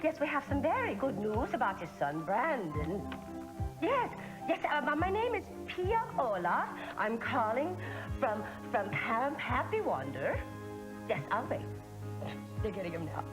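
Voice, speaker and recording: A woman's voice: a little high, big pitch swings, a little slow, over music, low-quality recording. An actress playing a woman who puts on a Swedish accent as a flustered camp caller on the phone, stammering and overeager. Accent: put-on Swedish.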